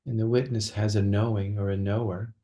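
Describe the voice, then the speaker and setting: A man leading a guided meditation as a teacher, speaking gently and slowly with long pauses between phrases. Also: fairly low pitch, little pitch movement.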